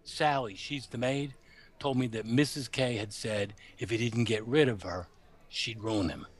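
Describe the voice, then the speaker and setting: An actor playing an uneasy working-class chauffeur in a noir radio play, confiding in colloquial, hesitant speech. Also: male voice, medium pitch, measured pace, lightly acted. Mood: confiding, gossipy.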